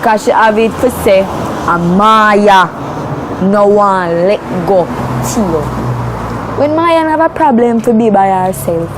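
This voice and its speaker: A woman's voice: mid-range voice, slow pace, background noise. A woman with a Jamaican Patois accent giving an informal personal account as an interviewee. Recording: faint music behind the voice.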